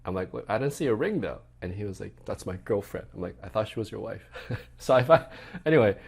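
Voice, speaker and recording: A man hosting an interview podcast, relaxed and curious, asking questions and telling his own stories. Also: on the low side, fairly quick, gravelly, husky. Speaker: podcast host. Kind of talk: casual interview and storytelling.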